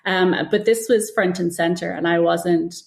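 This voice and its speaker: A woman's voice: lowish voice, fairly even intonation, normal speed, gravelly, a little mellow. A woman answering as an interview guest, conversational and self-deprecating, telling anecdotes and acting out what people said. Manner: self-deprecating, anecdotal, acts out reported remarks. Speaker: guest author and former TV producer. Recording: roomy, echoey sound.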